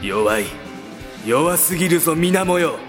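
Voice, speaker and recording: A man's voice: a little high, lively intonation, unhurried, music in the background. A man playing an arrogant, hot-tempered lightning mage villain with a sneering, theatrical manner in a fantasy audio drama. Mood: gloating. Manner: sneering, theatrical, spell-shouting.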